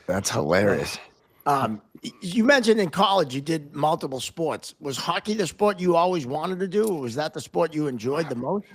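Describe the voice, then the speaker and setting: A man with a slightly high, husky voice and very lively pitch movement. He speaks casually as a podcast host, reacting and asking questions in a conversational interview style. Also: normal speed.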